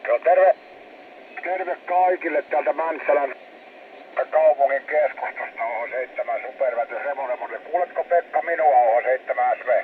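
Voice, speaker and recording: A man's voice: mid-range voice, phone-quality sound. A man chatting casually as an amateur radio operator over a shortwave link. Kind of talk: casual ham radio contact. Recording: thin shortwave radio transmission with static. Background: radio static.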